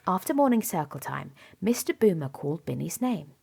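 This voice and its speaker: A woman reading a children's storybook aloud in an expressive, dramatic way, softening to a hush at times. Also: lowish voice, a little fast, creaky, husky. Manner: animated, dramatic delivery with softened hushed moments. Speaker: storybook reader. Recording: music behind the voice. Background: music and story sound effects.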